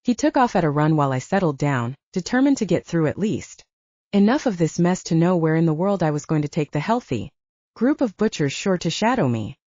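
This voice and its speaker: Mellow, expressive woman narrating fiction. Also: on the low side, fairly quick, no echo, highs cut off. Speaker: audiobook narrator. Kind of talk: scripted first-person fiction narration.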